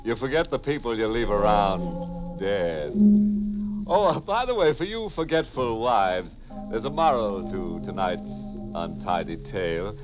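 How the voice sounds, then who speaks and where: A man's voice: on the low side, very animated, measured pace, loud music behind the voice. An actor playing a sardonic male horror host, delivering macabre puns with mock-solemn glee in 1940s radio style. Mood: gleeful, macabre. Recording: muffled old 1940s radio recording.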